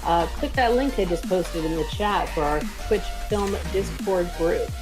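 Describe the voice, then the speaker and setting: Lower-pitched woman chatting over music. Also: lively intonation, a little slow. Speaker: co-host of an online script table read stream. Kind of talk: casual live stream chat. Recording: music playing behind the voice.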